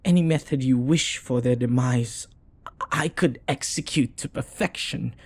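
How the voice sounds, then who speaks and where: A man playing a jealous, flirty, devoted demon in an intimate audio roleplay, speaking formally in a silky, possessive, breathy way. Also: medium pitch, a little slow, no echo. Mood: menacing, eager.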